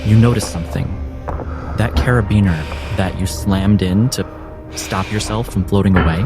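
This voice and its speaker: Male game master, lowish voice, measured descriptive storytelling with a prominent music bed. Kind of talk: cinematic game narration.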